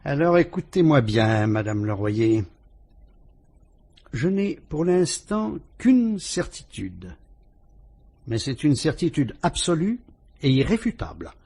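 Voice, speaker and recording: An actor with a French accent playing a calm, shrewd police inspector who politely and ironically probes a suspect in a vintage radio drama. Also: male voice, a little high, husky, exaggerated voice. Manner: measured, probing, politely ironic.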